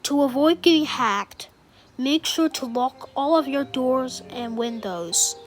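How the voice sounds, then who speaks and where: A woman with a high voice reads a scripted emergency alert. She speaks slowly and formally, in the solemn manner of a broadcast warning, with music playing behind her.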